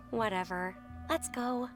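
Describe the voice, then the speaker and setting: A woman's voice: medium pitch, extremely slow, music in the background. A woman voicing a snide, dismissive young female agent in a game. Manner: sarcastic, dismissive.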